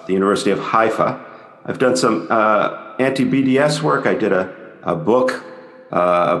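Male podcast co-host, lowish voice, formal and wordy, roomy reverberant recording. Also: fairly bright tone. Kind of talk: casual podcast discussion. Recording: echoey room with long reverb.

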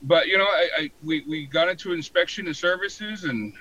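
An American man in his forties, telling his story casually in an interview with a halting, colloquial delivery full of "you know". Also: on the high side, bright, forceful tone, dull audio. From San Diego, born in Hawaii. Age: 40s. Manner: halting, colloquial, frequent 'you know'. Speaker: podcast guest, fire protection inspector.

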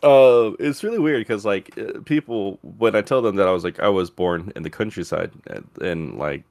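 A man with a fairly low voice and a Southern US accent hosts a casual podcast chat. His voice is recorded dry, with little room sound around it. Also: moderate pace, gravelly, darkish tone, dry recording. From Alabama, USA. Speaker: podcast host.

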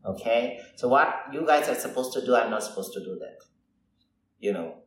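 A man who is a Buddhist monk answering interview questions in halting non-native English, often adding "you know.". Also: medium pitch, animated, slight background noise. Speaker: Buddhist monk and meditation teacher. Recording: roomy echo, far from the mic, faint ambience.

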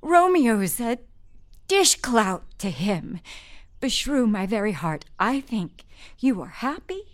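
Lady doing a chatty old nurse, frank and coaxing, a bit high. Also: sing-song, takes it slowly. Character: older talkative household nurse woman. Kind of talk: Shakespeare stage reading.

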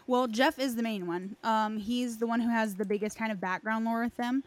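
Casual woman with a higher-pitched voice. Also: fairly even intonation, normal speed. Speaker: host of a game lore discussion. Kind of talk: casual discussion.

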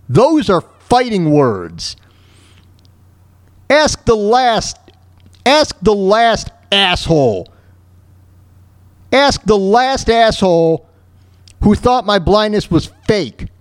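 A man with a high voice and very lively intonation hosts a solo podcast. He is blunt and emphatic, circling back over his phrases to drive them home. The sound is close and dry. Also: dry recording. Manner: blunt, profane. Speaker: podcast host.

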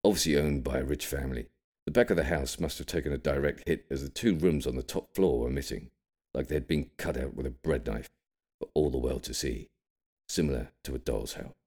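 A man voicing a cryptic old grandfather in an audiobook, gravely and carefully reminiscing about his wartime childhood. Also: very deep voice, a little mellow. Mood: grave, nostalgic. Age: older. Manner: careful, measured, ominous storytelling. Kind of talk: audiobook character storytelling.

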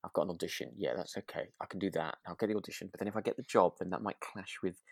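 A British man co-hosting a podcast in relaxed, casual chat, speaking tentatively and hedging as he goes. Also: sits low, very fast, creaky, no room sound, clean studio sound. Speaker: podcast co-host.

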